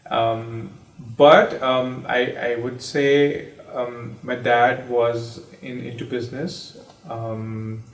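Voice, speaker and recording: A man in his thirties from Pakistan tells a personal story slowly and reflectively, with many ums and restarts. His voice stays flat and even, heard from some distance in an echoey room. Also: mid-range voice. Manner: hesitant, many fillers and restarts.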